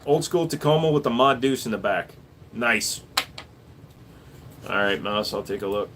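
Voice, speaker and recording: A man at an ordinary mid pitch hosts a relaxed livestream, reading viewer questions out and answering them offhandedly. A faint hiss of noise sits under his voice. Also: normal speed. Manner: relaxed, offhand, reads viewer messages aloud. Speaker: gun shop owner and livestream host.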